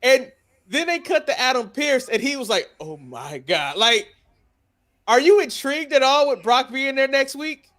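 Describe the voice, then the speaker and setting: A man's voice: extremely high pitch, animated, normal speed, bright, forceful tone. A man hosting a casual sports talk podcast, speaking informally with slang and steering the discussion with questions. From United States. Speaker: wrestling podcast host.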